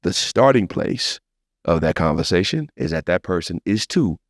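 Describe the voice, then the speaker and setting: A man's voice: low-pitched, animated, normal speed. An American man speaking as a podcast host, chatting casually to his listeners. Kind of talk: podcast intro and casual chat.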